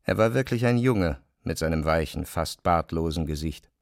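A man's voice: low voice, lively intonation, normal speed, creaky, dry recording, studio quality. A man narrating dramatised classic literature as a scripted storyteller.

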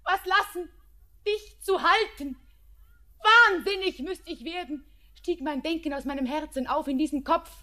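An actress voicing Anna, a young bride torn between tenderness and despair, moving between hushed whispers and passionate outbursts. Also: female voice, sits high, moderate pace. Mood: anguished. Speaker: lead actress. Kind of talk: classic radio play acting.

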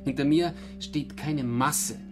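An actor playing a self-important bohemian artist, a declaiming individualist, in a literary radio drama. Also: male voice, on the high side, slow, over music. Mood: pompous. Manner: pompous, declamatory.

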